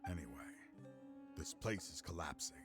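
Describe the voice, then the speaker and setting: An actor voicing a grave, weary older law enforcer in a video game, speaking in a solemn, measured, formal way. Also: male voice, rumbling low, expressive. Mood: grave confession. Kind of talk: dramatic video game acting. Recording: music behind.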